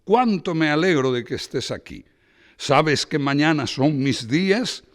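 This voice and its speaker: Man doing a booming, pushy good-time fellow, high and loud, swings the voice up and down a lot. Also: animated, a little slow, long reverb tail. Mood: boisterous. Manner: loud, emphatic, back-slapping bluster. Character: boisterous, overbearing, hearty middle-aged man.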